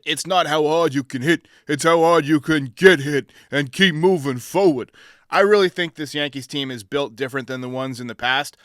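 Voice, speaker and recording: A man's voice: a little high, fairly bright tone, no room sound, studio quality. An American man hosting a sports talk show, giving commentary in response to fan calls.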